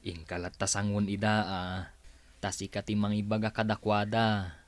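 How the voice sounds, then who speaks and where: A man's voice: fairly low pitch, completely flat delivery, normal speed, no room sound, natural voice. An actor playing a dutiful male house servant in a radio drama, delivering messages.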